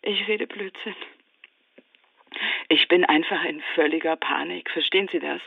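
An actress playing a panicked, sleepless patient who stammers and repeats herself while pleading on a doctor's voicemail, through a telephone filter. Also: female voice, sits low, unhurried, gravelly, no echo, heavily acted. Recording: tinny narrow phone line, dry.

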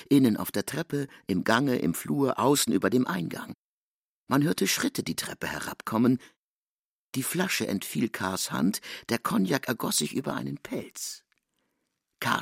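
A man with speech and a husky, creaky voice, narrating a literary story. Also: mid-range voice, dry recording, studio quality.

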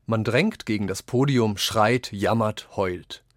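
A man with a somewhat low voice reads quoted texts in a radio essay. His phrasing is deliberate and declamatory, and the studio sound is dry, without room reflections. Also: no room sound. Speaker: reader of quotations in a radio essay. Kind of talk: scripted literary reading.

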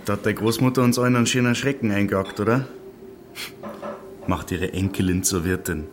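An actor as cajoling, patronising Bavarian village bigwig. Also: male voice, fairly low pitch, steady tone, fairly quick, noisy background, close to an everyday voice. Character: smooth-talking, scheming village dignitary, patronising. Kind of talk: audio drama dialogue in dialect.

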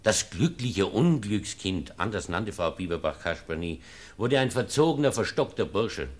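An actor playing a sceptical, stern aristocratic baron in a German period radio play, with dry, formal, measured speech. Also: male voice, fairly low pitch, sing-song. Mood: disapproving.

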